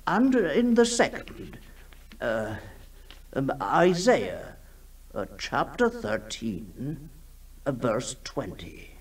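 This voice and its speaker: A fussy period gentleman in an old radio play, husky and slow. His formal delivery halts and swoops, a little away from the mic. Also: medium pitch, wildly swooping pitch, heavily acted. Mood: hesitant, earnest. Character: scholarly, hesitant old-time gentleman writing a solemn account. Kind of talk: 1960s radio drama acting. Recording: distant 1960s radio recording.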